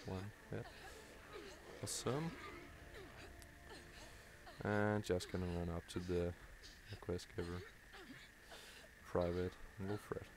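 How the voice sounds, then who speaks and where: A Danish-speaking man from Denmark talks over his gameplay, tired and stumbling, filling gaps with ums. His voice is low and monotone, and he drags his words out slowly. A loud wash of noise sits under everything. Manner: tired, halting, many ums and restarts. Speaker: gamer commentating his play. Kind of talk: live let's play commentary.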